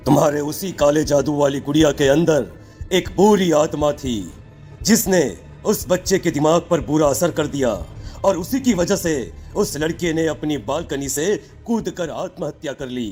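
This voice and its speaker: A stern holy man of the occult, acted by a man for a cartoon horror tale. He speaks solemnly and commandingly in a fairly high male voice, accusing as he goes, with music underneath. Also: normal speed, a little mellow, lightly acted. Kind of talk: Hindi cartoon horror story acting.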